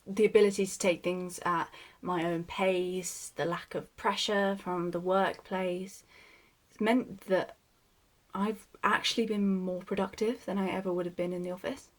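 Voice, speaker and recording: A woman's voice: medium pitch, natural voice. A woman playing a contented introvert, giving a reflective, slightly hesitant diary monologue. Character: contented introverted woman who likes working alone.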